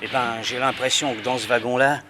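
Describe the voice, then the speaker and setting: An actor playing a wary, superstitious freight station chief in a French radio drama, talking gruffly and uneasily. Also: male voice, medium pitch, steady tone, fairly quick, very noisy, lightly acted.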